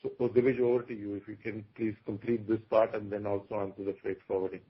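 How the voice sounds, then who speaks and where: A man speaking as a company executive, explaining business matters on a conference call in a husky voice. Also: fairly low pitch, little pitch movement, fast talker. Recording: dull, lo-fi conference call audio.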